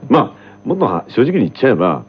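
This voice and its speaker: A man's voice: lowish voice, huge pitch swings, fast, breathy edge. A man on a radio talk show, a voice actor chatting in a joking, laid-back way. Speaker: voice actor guest. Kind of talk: casual radio chat. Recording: dull lo-fi radio audio.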